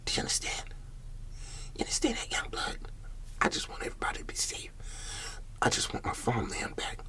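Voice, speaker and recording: A man playing a shaken, humble country farmer in an ASMR roleplay, speaking in a halting, breathy whisper and repeating his words. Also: sharp tone, lightly acted. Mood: pleading.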